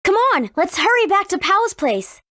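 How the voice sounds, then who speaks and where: A bold young heroine for a video game. She is emphatic and commanding, with a very high voice and strikingly animated pitch, recorded dry. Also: normal speed, no echo, exaggerated voice. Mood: urgent, bright.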